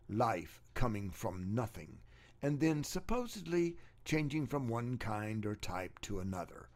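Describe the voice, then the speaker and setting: Guy narrating an argumentative audiobook, mid pitch but really up and down, sarcastic and emphatic. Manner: rhetorical questions, sarcastic emphasis. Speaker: narrator reading own book chapter.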